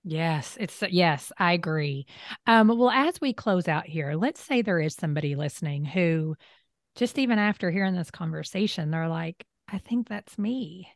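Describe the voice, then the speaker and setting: A woman's voice: fairly low pitch, animated, moderate pace, vocal fry, no room sound, clean studio sound. A woman hosting a conversational interview, talking in an open, affirming way. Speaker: host.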